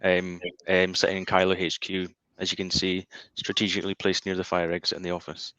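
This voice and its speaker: A man's voice: deep voice, monotone, fairly quick, sharp tone, no room sound. A man giving an informal product presentation from an office, heard over slightly dull, lo-fi call audio. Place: indoor office.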